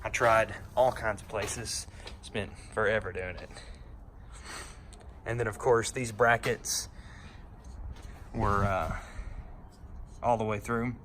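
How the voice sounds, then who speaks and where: A man's voice: fairly low pitch, steady tone, slow, long pauses, fairly bright tone. A man giving an unscripted, hands-on installation walkthrough, explaining and pointing things out step by step. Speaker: DIY how-to presenter. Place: inside a pickup truck cab. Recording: noisy handheld recording inside a vehicle.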